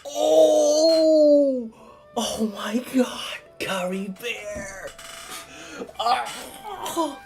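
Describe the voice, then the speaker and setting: A woman's voice: on the high side, animated, extremely slow, warm tone. A woman streaming a game with casual, sweary, emotionally reactive commentary, talking back to the game. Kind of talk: casual live playthrough commentary. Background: game music.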